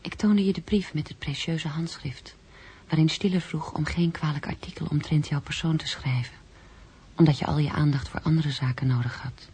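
An actress playing a polite, sensitive young female teacher in a radio drama, speaking in an earnest, soft way. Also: deep voice, fairly even intonation, moderate pace, breathy edge. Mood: uneasy, earnest. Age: young adult. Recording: radio play with music underneath.

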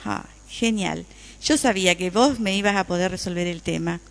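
A woman's voice: lowish voice, moderate pace, gravelly. A woman playing a friendly, appreciative customer chatting with a friend in a radio play, with an Argentine Rioplatense accent. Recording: reverberant room with long echo.

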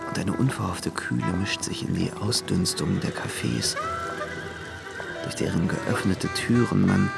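A man's voice: sits low, a little slow, gravelly, full character voice. An actor playing a brooding, dreamy young man in a literary radio drama monologue. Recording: loud music underneath.

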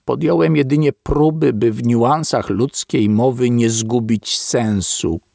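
A man giving a grave, measured reading of an old-style literary epilogue, with long pauses. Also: medium pitch, creaky, warm tone, no room sound, clean studio sound. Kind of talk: slow solemn literary reading.

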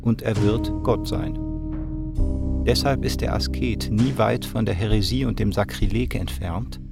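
A man's voice: on the low side, steady tone, unhurried, gravelly. An actor reciting as a grave philosophical man, solemn and declamatory, in a radio play. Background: loud plucked guitar music.

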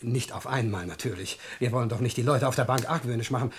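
An actor in a 1960s radio play, playing a cocky young burglar who taunts smugly with a menacing cheer. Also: male voice, mid-range voice, quick pace, a little hiss or hum, lightly acted. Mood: taunting. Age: young adult. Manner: smug, taunting, drawling.

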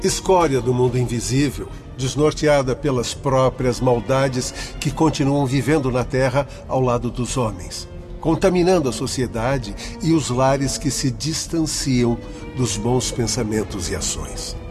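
An actor playing a stern, learned spirit instructor in a radio drama, in a formal, declamatory way. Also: male voice, mid-range voice, a little slow, close to an everyday voice. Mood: condemning. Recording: music behind the voice.

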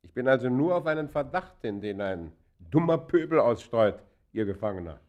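An actor playing a proud, defiant traveller under arrest in a German radio play, answering in a terse, haughty, guarded and formal manner. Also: male voice, mid-range voice, big pitch swings, moderate pace, darkish tone, dull audio, close to an everyday voice. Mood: indignant, challenging.

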